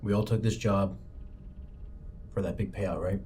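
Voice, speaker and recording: A man's voice: lowish voice, steady tone, unhurried, mellow, a little hiss or hum, close to an everyday voice. A man playing a firm, pragmatic spaceship captain in a tabletop game, blunt and protective of the crew. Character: firm, protective male freighter captain, hard bargainer. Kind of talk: improvised tabletop roleplay acting.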